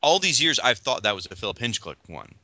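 A man's voice: mid-range voice, sing-song, fast, bright, forceful tone, no room sound. A man hosting a casual podcast panel chat, quizzing his guests with enthusiasm. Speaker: podcast host. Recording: dull lo-fi dry audio.

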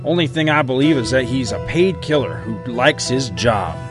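A man's voice: medium pitch, lively intonation, normal speed, lightly acted. A man voicing a skeptical, no-nonsense cowboy outlaw from a comic book, in folksy frontier talk. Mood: dismissive, practical. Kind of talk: acted comic book retelling. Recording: music under the voice.

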